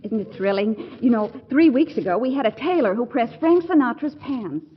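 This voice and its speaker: An actress playing a perky young hospital nurse in a 1940s radio comedy, chirpy and deadpan. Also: female voice, fairly high pitch, normal speed, heavy background noise, lightly acted. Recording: muffled 1940s radio broadcast.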